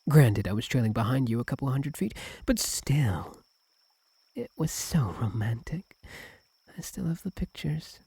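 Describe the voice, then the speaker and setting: A man playing a possessive, doting yandere fox-spirit husband in an intimate ASMR roleplay, breathy, tender and clingy with a sweetly menacing edge. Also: medium pitch, lively intonation, unhurried, warm tone, no echo, exaggerated voice. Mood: adoring. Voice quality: breathy, husky, at times whispered. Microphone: close, dry microphone.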